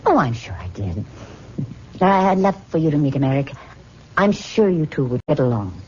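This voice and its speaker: A woman's voice: low-pitched, big pitch swings, normal speed, a little mellow. An actress playing an uneasy, placating young woman in an old-time radio drama, emotive and hesitant on a muffled, noisy old recording. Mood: defensive.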